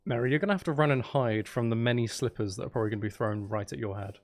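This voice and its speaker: A man with a mid-pitched voice and noticeable vocal fry chats casually as he plays a game on stream. He rambles and teases playfully, with a slightly tired edge to his delivery. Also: rapid-fire. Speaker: livestream host. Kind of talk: casual gaming livestream chat.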